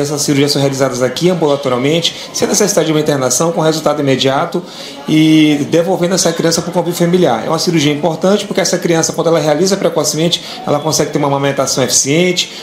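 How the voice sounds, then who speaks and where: Very fast-talking Brazilian man, echoey. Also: a little high. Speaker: health professional. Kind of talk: explanatory news interview. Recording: roomy, echoing sound.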